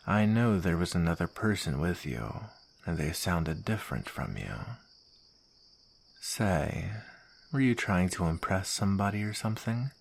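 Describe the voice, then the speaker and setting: A man's voice: sits low, creaky, full character voice. A man playing a smug soldier villain in an ASMR roleplay, taunting and drawling with mock sweetness and menace. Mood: probing, taunting.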